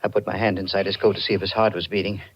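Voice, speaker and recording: A man's voice: deep voice, flat delivery, rapid-fire, breathy edge. An actor playing an anxious, desperate married man with a guilty secret, in earnest, dramatic 1940s radio style. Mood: ashamed, desperate. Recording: dull, band-limited 1940s radio recording.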